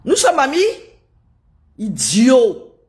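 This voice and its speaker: A woman's voice: fairly high pitch, wildly swooping pitch, extremely slow, darkish tone. A woman with a head cold ranting live to her viewers, indignant and scolding, repeating rhetorical questions. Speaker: live streamer commenting on politics. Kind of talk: live-stream rant to viewers.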